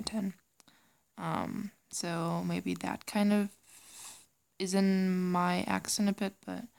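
A young German woman with a light German accent, chatting casually and giggly, often slipping into a soft whisper. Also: lowish voice, vocal fry. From Germany, raised in Singapore. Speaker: audio creator. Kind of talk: casual chat reading word lists.

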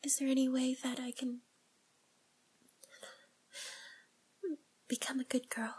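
A woman playing a nervous young woman confessing to a priest, speaking in a breathy whisper with halting, tearful, pleading phrases. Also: high voice, completely flat delivery, darkish tone. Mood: relieved, earnest. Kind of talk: whispered audio roleplay monologue.

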